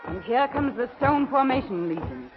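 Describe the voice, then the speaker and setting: A woman's voice: a little high, sing-song, normal speed, faint music behind, a faint crowd. A woman voicing a regal queen of magic in a 1930s radio serial, with a formal, theatrical delivery. The old recording sounds dull and lo-fi. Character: regal female magic queen, proud and commanding.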